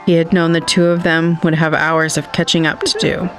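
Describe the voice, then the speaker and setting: A man reading scripted literary narration for an audio drama in a measured, wistful manner. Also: fairly high pitch, little pitch movement, over music.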